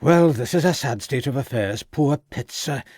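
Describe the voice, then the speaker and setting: A man's voice: mid-range voice, lively intonation, moderate pace, darkish tone, no echo, studio quality, pitched above the performer's own voice, natural voice. A man voicing a self-important elderly country doctor with a pronounced Welsh accent. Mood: pompous. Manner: pompous, assured.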